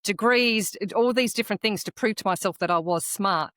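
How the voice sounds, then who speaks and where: A woman whose was Croatian, co-hosting a podcast and telling a personal story in an earnest, reflective way with halting restarts. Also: mid-range voice, fairly bright tone, dry recording, studio quality. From Australia. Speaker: podcast co-host. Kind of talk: casual conversational podcast chat.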